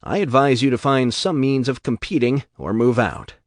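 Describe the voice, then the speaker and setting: A man's voice: mid-range voice, moderate pace, no room sound, clean studio sound, pitched above the performer's own voice, lightly acted. A man doing a stern commanding tycoon. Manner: clipped, firm, lecturing. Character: stern powerful older businessman, cold and commanding.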